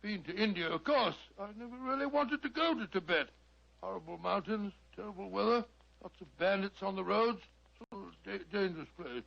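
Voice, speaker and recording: Old British doctor, high gravelly voice, blustery, dignified, genial, vintage radio drama. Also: male voice, moderate pace. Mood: genial, musing. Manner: blustery, hesitant, avuncular. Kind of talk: 1940s radio drama acting. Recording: muffled vintage radio recording.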